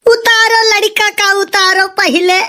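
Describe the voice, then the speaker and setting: Sharp-tongued village mother for cartoon comedy: extremely high, bright voice, Awadhi accent, argumentative scolding. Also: steady tone, slow, exaggerated voice. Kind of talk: comic cartoon dialogue.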